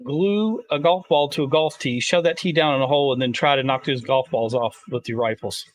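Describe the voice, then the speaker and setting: A man chatting on a livestream, joking in a deadpan way. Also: mid-range voice, fairly quick, no room sound. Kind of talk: casual livestream chat.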